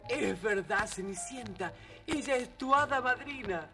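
A man's voice: high-pitched, animated, measured pace, far from the mic, over loud music. A man voicing an earnest talking pet cat in a children's audio storybook.

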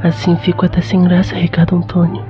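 A woman's voice: on the low side, monotone, quick pace, close to an everyday voice. A woman acting a coy, sentimental young lady in love in a melodramatic radio soap. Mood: coy, tender. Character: Madalena Guadalupe, coy romantic young woman with strict father. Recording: dull lo-fi audio under music.

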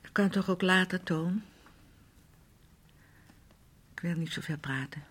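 A woman's voice: fairly low pitch, measured pace. An actress playing a fragile, naive grown woman in a radio drama, speaking breathily and often near a whisper in simple, hesitant phrases. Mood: hopeful, fragile. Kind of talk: naturalistic Dutch radio drama acting.

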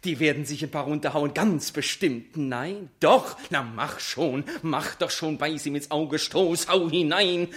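An actor playing an excitable, gossipy bystander in a radio play monologue, with a theatrical delivery. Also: mid-range voice, unhurried, hardly any pauses, natural voice. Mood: conspiratorial muttering.

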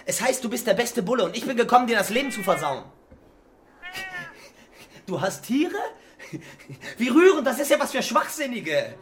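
A man's voice: very high voice, sing-song, moderate pace, pauses often, slight background noise. A man playing a taunting, volatile young killer in a crime radio drama, aggressive and crude. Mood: sneering, taunting.

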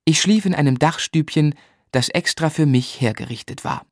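A man's voice: fairly high pitch, normal speed, dry recording, studio quality, natural voice. An actor playing a grown man who recalls his boyhood, narrating a literary radio drama in the first person. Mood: reflective. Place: dry studio.

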